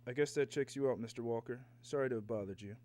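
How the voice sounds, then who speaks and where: An actor playing a businesslike government agent, questioning formally in a 1950s radio drama style. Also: male voice, mid-range voice, quick pace, warm tone, lightly acted. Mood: formal, reserved.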